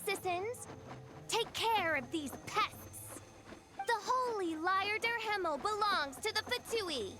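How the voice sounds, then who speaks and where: Very high girlish voice, haughty teen playing evil mage, grandiose, anime game, music underneath. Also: lively intonation, slow pace, full character voice. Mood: commanding. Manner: pompous, grandiose, archaic phrasing. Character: theatrical haughty teenage girl role-playing a villainous mage.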